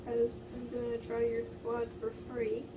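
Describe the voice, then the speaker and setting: Female co-commentator, high-pitched, casual and joking, picked up away from the mic amid noise. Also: monotone, a little slow. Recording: distant mic with clear background noise.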